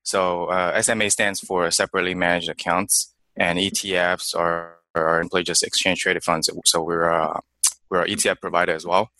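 A young man from China, guest in an interview, answering in a halting way with many fillers and restarts. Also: low voice, level tone, pushed and bright, dry recording. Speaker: investment manager guest.

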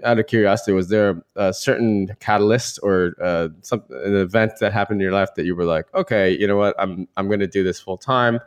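Male podcast host for interview show, lower register. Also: fairly quick, few pauses, smooth. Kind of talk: scripted intro and casual interview.

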